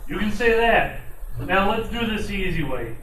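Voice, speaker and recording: A man's voice: high voice, lively intonation, moderate pace. A man playing a matter-of-fact police detective sidekick in an amateur old-time radio drama. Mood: businesslike. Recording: echoey room, distant mic, noisy background.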